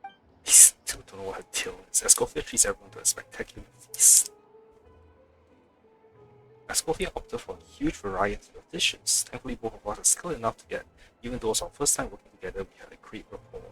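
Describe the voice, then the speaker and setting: A man's voice: fairly high pitch, wildly swooping pitch, brisk, gravelly, bright, forceful tone, soft background music, low-quality recording. A man doing casual game-stream commentary, reading along and tossing in wry, joking asides. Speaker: livestreamer.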